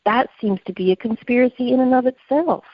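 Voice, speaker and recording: A woman's voice: fairly high pitch, brisk, no room sound, dull audio. A woman co-hosting a podcast discussion, speaking in an inquisitive, articulate and emphatic conversational manner. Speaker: podcast co-host.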